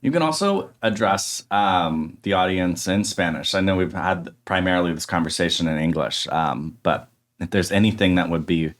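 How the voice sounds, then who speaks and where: Young male host, very low voice, thoughtful and halting, restarts often. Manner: thoughtful, hesitant, with many restarts. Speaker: podcast host. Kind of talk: casual interview conversation.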